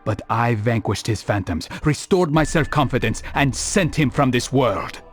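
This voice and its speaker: A man playing a calm, resolute assassin hero in a video game, speaking in a measured, formal, serious manner. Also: medium pitch, soft background music, close to an everyday voice. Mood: solemn.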